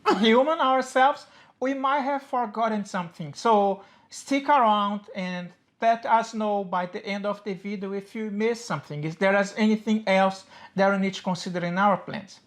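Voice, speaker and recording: A woman's voice: mid-range voice, moderate pace. Brazilian-accented woman chatting to camera. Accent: Brazilian Portuguese. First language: Portuguese. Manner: chatty, upbeat, with small grammar slips. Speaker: co-host of a personal finance channel. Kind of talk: casual explanatory chat to camera.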